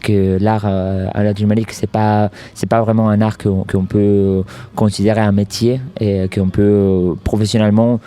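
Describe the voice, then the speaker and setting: A man from Argentina, speaking with an Argentine Spanish accent, answers interview questions haltingly, often restarting and repeating words. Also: lowish voice, flat delivery, dark tone, clear, resonant tone. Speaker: circus artist guest.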